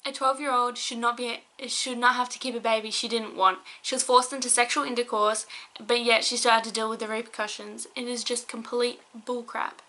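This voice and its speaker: A young woman rants to her viewers in a heated, rambling way. She sounds out of breath and hoarse, as if her voice is giving out. Her tone is bright and sharp, set a little high, yet it barely rises or falls. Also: little pitch movement, brisk. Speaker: vlogger. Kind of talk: angry opinion rant to camera.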